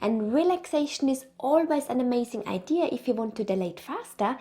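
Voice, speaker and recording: A woman's voice: a little high, lively intonation, a little fast, mellow. A woman with an Austrian accent, presenting an educational video as a birth consultant, upbeat and encouraging.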